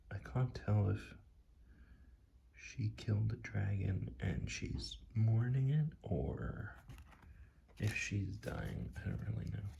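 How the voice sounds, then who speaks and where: A soft-spoken ASMR host giving a casual, hushed, unscripted commentary while flipping through a card deck. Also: mid-range voice, creaky, a little hiss or hum. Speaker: tarot deck reviewer, video host.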